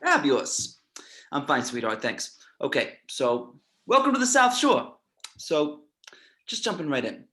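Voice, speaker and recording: A man reading a chatty, fussy mobster in his fifties, creaky and halting, at an amateur script table read. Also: on the high side, huge pitch swings, sharp tone, exaggerated voice. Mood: effusive. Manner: halting, repeats himself.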